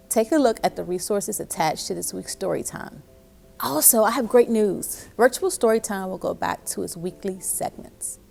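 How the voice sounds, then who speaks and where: Mellow-voiced woman hosting children's story time. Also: medium pitch, creaky. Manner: encouraging, addressing young listeners with questions. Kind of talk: storybook read-aloud and craft instruction for young children.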